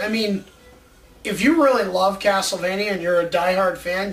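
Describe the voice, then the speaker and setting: A man co-hosting a casual chat show, pitching ideas with a fan's enthusiasm. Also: a bit bright. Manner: enthusiastic, fannish. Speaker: co-host of a movie ideas show. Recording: distant mic with faint background noise.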